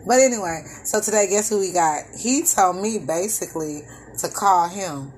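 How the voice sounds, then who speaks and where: A woman hosting a casual podcast from an apartment, chatty and quick to laugh, talking to her listeners as "y'all.". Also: mid-range voice, a little hiss or hum, rough recording. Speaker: podcast host. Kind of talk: casual chat.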